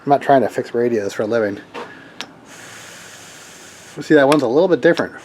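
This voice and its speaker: A man's voice: mid-range voice, expressive, a little fast, darkish tone, a little hiss or hum, low-quality recording. A man giving a casual hands-on tech demo as a hobbyist, talking informally and rambling as he thinks aloud. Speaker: hobbyist radio operator demonstrating equipment.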